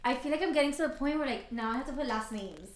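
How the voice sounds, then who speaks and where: High-voiced giggly woman in an echoey room. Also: expressive, fast, slight background noise. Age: 20s. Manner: laughs often, mixes in Spanish phrases. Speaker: podcast co-host. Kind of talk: casual chat.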